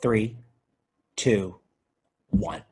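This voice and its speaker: A man's voice: on the low side, fairly even intonation, very slow, warm tone. A man playing an earnest, dutiful video store clerk and movie buff in a comedy script read. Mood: dramatic.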